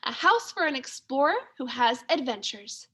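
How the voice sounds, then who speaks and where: A woman's voice: sits high, sing-song, normal speed, a bit bright, slightly rough, exaggerated voice. A woman reading a storybook as an adventurous, cheerful female mouse with an eager, encouraging manner.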